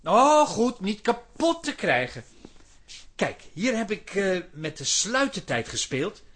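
An eager, arty son in a radio drama, easily flustered and stammering. The voice is high for a man, forceful and bright, yet husky. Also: big pitch swings, takes it slowly, heavily acted. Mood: eager, casual. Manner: hesitant, stammering. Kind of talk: Dutch radio drama acting.

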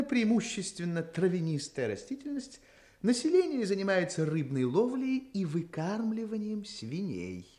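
An actor playing a bitter, dreamy village schoolteacher, musing and declaiming in 1960s radio drama style. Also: male voice, fairly high pitch, dark tone. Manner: declamatory, musing, repeats phrases to himself.

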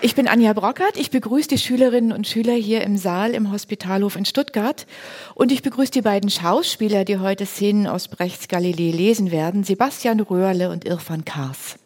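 A woman's voice: medium pitch, normal speed, a little mellow, a little hiss or hum. A woman hosting a moderated talk on stage, speaking in an articulate, structured presenter's manner. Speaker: host, moderator. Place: hall with a live audience.

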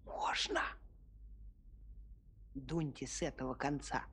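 An actor playing a polite but shrewd young shepherd in a children's audio play, simple and deferential in manner. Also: male voice, mid-range voice, steady tone, slow pace, a bit bright, husky, soft background music, exaggerated voice. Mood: modest, helpful.

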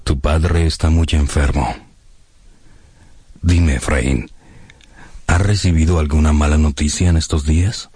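A grave family doctor in a radio drama. The voice is very deep, with formal, courteous, deliberate phrasing over clearly audible music. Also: male voice, steady tone, a little mellow. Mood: grave concern.

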